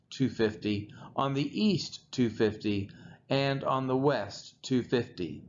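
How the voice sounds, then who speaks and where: Man reading scripture with lively pitch swings. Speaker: scripture reader. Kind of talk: scripted Bible reading aloud. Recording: muffled lo-fi audio with background noise.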